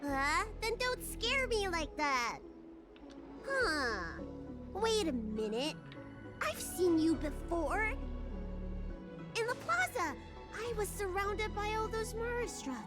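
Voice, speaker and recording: A very high, lively child's voice for an anime game. She is a stubborn, excitable dragon girl, indignant and emphatic. Game music plays clearly behind her. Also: slow pace, pauses often, heavily acted. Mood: surprised recognition.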